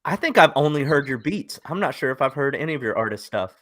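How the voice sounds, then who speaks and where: A man's voice: medium pitch, big pitch swings, fast talker, a bit bright. A man hosting a casual interview show, relaying viewer questions in an easygoing way. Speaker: show host reading chat questions.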